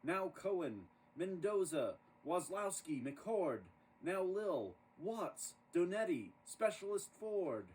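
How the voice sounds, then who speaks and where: A man voicing a towering, jolly military sergeant playing Santa in a storybook, calling out in a loud, commanding way. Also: sing-song, slow pace, exaggerated voice. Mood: rousing command. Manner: commanding, booming calls. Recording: distant microphone with clear background noise.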